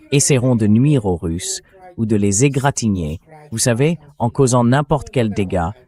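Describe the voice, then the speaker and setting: Low-pitched man, blunt political commentator. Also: darkish tone. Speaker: interview guest, translated voice-over. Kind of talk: synthetic dubbed political commentary.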